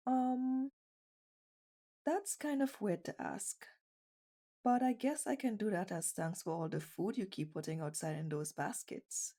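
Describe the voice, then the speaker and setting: Woman acting a shy, unhurried bunny girl, mellow voice. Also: mid-range voice, close to an everyday voice. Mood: bashful, hesitant. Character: shy, skittish stray bunny girl, part human. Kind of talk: ASMR roleplay acting.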